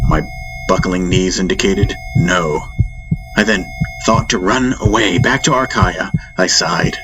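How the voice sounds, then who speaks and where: A man reading a dramatic first-person audiobook story in deliberate, theatrical phrases with weighty pauses. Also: sits low, noisy background. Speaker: audiobook narrator. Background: atmospheric music soundtrack.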